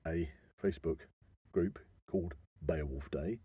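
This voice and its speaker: A man's voice: low-pitched, unhurried, mellow, breathy edge, no echo. A middle-aged man with a British accent, talking casually and emphatically as a podcast co-host. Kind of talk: casual conversational podcast talk.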